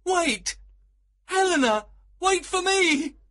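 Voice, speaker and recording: Man acting an ardent, husky young lover. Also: a little high, sing-song, normal speed, fairly bright tone, no room sound, studio quality, voice pushed up, full character voice. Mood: desperate. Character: ardent young male lover, impulsive and eager.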